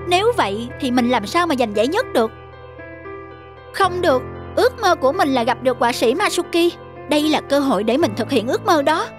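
A very high, fretful cartoon schoolboy voiced by a woman, chubby, guilty and unsure of himself. He speaks as if questioning himself, with music clearly underneath. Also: animated, fairly quick. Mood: anxious scheming. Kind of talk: cartoon dubbing. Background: prominent music.

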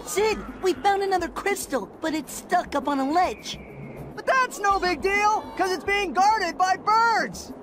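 An actor voicing an excitable, teasing young male opossum in a video game cutscene. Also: extremely high pitch, a little slow. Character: hyperactive cocky young male opossum prankster. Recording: music under the voice.

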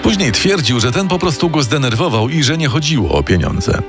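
A man narrating a scripted true-crime radio story in a measured, dramatic way. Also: on the high side, sing-song. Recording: narration over loud music.

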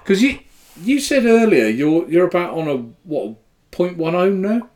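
A man's voice: sits high, sing-song, normal speed, a little mellow. A man in his sixties from Norfolk with a Norwich accent, co-hosting a casual live stream and reading viewer comments with hesitant fillers and frequent chuckles. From Norfolk, England. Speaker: co-host of a vaping live show. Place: home room, indoors.

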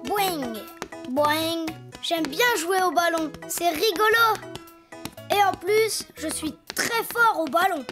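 A performer voicing a cheerful, eager little boy donkey in a children's cartoon, childlike and expressive. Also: extremely high pitch, slow, music in the background.

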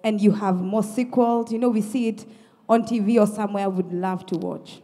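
A woman's voice: medium pitch, steady tone, normal speed, warm tone. Effusive woman judge in an echoey hall. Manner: effusive, emphatic praise. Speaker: judge at a school performance. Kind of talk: spoken feedback to performers on stage. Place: large indoor theatre auditorium. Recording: echoey auditorium with long reverb.

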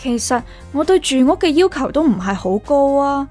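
A woman's voice: sits high, very animated, normal speed, a little mellow, faint music behind. An actress playing a modest, wry woman renter in a radio drama, polite and understated, with a Hong Kong accent. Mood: wry, resigned. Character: modest, wry young woman renter, narrating her troubles. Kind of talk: radio drama dialogue and inner monologue.

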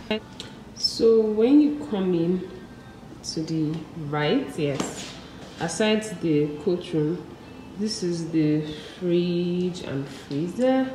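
Woman, young adult, low voice, chatty walk-through, phone camera, bare echoing room, noisy background. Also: animated, very slow, mellow, distant mic. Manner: chatty, talks while walking through rooms. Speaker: vlogger. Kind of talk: casual vlog narration. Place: empty apartment, indoors.

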